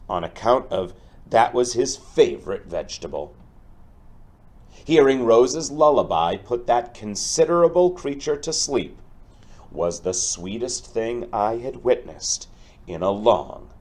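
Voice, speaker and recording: A man teaching through a storybook read-aloud, speaking deliberately and explaining things directly to his pupils. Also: on the low side, darkish tone.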